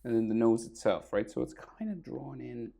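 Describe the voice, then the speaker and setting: A man with a sore throat and a crackly, gravelly voice, talking casually through a drawing tutorial, often trailing off to a near whisper. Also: mid-range voice, expressive, normal speed, warm tone.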